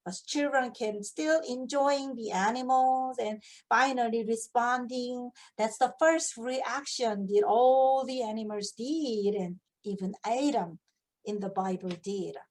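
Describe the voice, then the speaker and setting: A woman with a Korean accent, a native Korean speaker, teaching how to read picture books aloud and asking expressive questions as if to children. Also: on the high side, slow pace, rough recording. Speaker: English teacher, children's book reader. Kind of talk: picture book read-aloud demonstration with tips.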